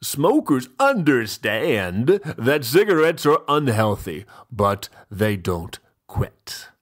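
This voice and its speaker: A man playing a pompous, fussy, self-indulgent gentleman host who gives mock-literary dramatic readings with drawn-out, theatrical pauses. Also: medium pitch, big pitch swings, full character voice. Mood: grandly dramatic.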